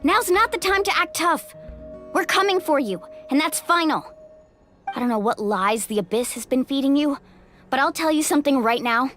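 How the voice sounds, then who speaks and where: A woman's voice: sits high, expressive, normal speed, soft background music. A woman voicing a spirited, loyal young heroine in a video game, earnest, emphatic and protective in manner. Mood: firm, encouraging. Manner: earnest, emphatic, warm encouragement. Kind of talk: English video game voice acting.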